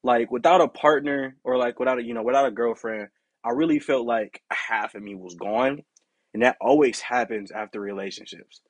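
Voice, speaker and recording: A young man with a deep, raspy voice hosting his own podcast, talking in a candid, rambling way with lots of "you know" and "like". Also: fairly quick, no echo. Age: young adult. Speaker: podcast host. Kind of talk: casual solo podcast monologue.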